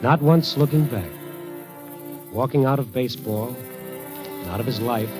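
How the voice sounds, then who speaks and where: A man narrating a 1940s radio drama in a dramatic, measured way. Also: mid-range voice, lively intonation. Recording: old radio broadcast with music.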